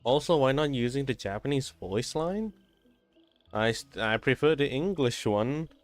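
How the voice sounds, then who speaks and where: A man's voice: mid-range voice, lively intonation, a little slow. A man chatting casually and informally while streaming a video game. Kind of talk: casual livestream chat during gameplay.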